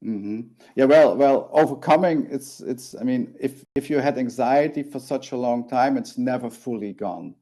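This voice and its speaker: Belgian man, forties, native Dutch speaker, interview guest; earnest, halting, warm and mellow tone. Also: mid-range voice. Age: 40s. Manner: earnest, halting with frequent restarts. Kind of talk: interview, personal story.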